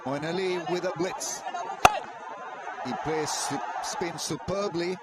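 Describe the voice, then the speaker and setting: A man's voice: on the high side, steady tone, slow, over a noisy crowd. Male cricket commentator, admiring analysis, stadium din. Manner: descriptive, admiring analysis of players. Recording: loud stadium noise behind.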